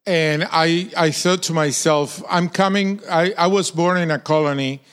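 A man from Argentina, preaching as a guest pastor in halting English and joking as he goes. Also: fairly high pitch, fairly even intonation, a bit bright, clean tone. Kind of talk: sermon with personal stories.

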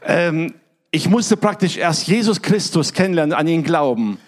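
A man with a slightly high voice interprets a sermon live, line by line. He talks quickly and fluently and now and then pauses briefly to correct himself. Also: expressive. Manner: quick, fluent, with small hesitations and self-corrections.

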